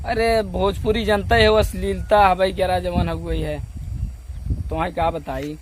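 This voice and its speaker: A man's voice: sits high, fairly even intonation, normal speed. A man with an Awadhi accent from eastern Uttar Pradesh rambles and repeats himself in a casual, complaining phone conversation, recorded outdoors in noisy surroundings. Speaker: comedian doing a phone-call skit.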